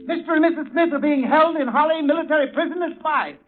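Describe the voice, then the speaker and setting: An actor playing an excited foreign correspondent phoning in news in a clipped, urgent 1930s radio style. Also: male voice, extremely high pitch, quick pace, phone-quality sound. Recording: thin old radio recording with music.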